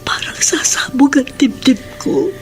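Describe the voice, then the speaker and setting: A woman's voice: sits high, very animated, a little slow, whispered, faint music behind. A stern, grieving Filipino mother. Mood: sorrowful, tender. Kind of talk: Filipino radio drama acting.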